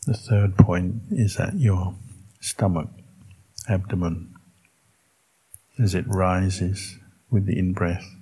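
A man with a low, dark voice leads a guided meditation as a monk would. His delivery is very slow and calm, broken into short phrases with long pauses between them. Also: breathy edge, rough recording. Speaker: Buddhist monk teaching meditation.

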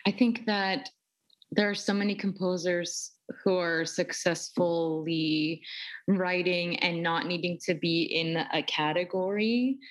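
A woman with a notably low speaking voice, though she is a soprano singer, talks in a flowing, articulate way. She shares her thoughts in a relaxed interview conversation. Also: takes it slowly.

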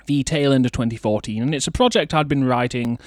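A man's voice: medium pitch, fairly quick, no room sound, clean studio sound. A young man presenting a radio show in a chatty, playfully theatrical way. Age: young adult. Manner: theatrical, playful showman delivery.

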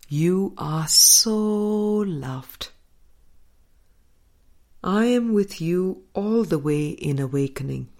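Woman reading a spiritual audiobook, really slow n measured, kinda low voice, lots of pauses. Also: darkish tone. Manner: measured, deliberate phrasing with pauses. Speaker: audiobook reader. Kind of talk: scripted spiritual book reading.

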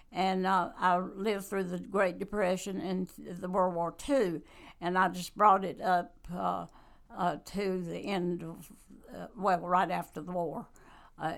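A woman, talking slowly in a casual interview as a guest and author, with many pauses and fillers. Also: fairly low pitch, gravelly. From North Carolina. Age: 90s. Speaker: guest, church member and author.

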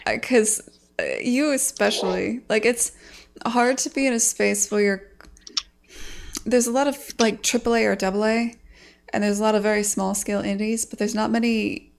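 A woman hosting a casual podcast chat, frank and animated, swearing casually as she talks about game development. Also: a little high, moderate pace, gravelly.

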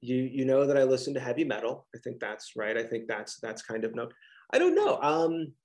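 A man's voice: medium pitch, big pitch swings, a little fast, a little mellow. A middle-aged man with a Philadelphia accent, a college professor answering interview questions casually on a video call, with many restarts and fillers.

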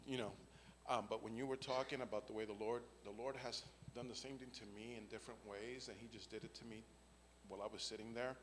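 A man's voice: on the low side, steady tone, fast talker, fairly bright tone, big-room sound, slight background noise, poor audio. A man, giving an earnest spoken faith testimony and often checking in with "right?" and "okay?". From the Bronx, New York. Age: 50s.

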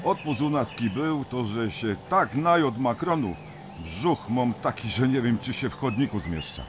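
A man acts out a gruff older miner with a thick Silesian accent, grumbling and bossing the younger men about in a radio drama. He speaks slowly, his pitch rising and falling a lot. A loud ambient bed runs behind him. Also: animated, noisy background, close to an everyday voice. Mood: gruff banter. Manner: grumbling, bossy teasing.

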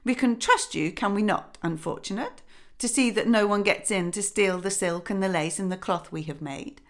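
A woman reading a fairy tale voices the lady of the house, who speaks loudly and bossily. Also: medium pitch, moderate pace, natural voice. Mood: commanding. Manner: loud, emphatic, bossy. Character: the lady of the house, brisk and commanding.

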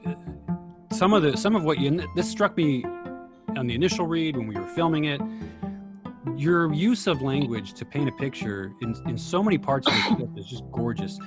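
A man's voice: a little high, expressive, moderate pace. Encouraging male moderator over music. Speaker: discussion moderator. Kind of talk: casual feedback discussion.

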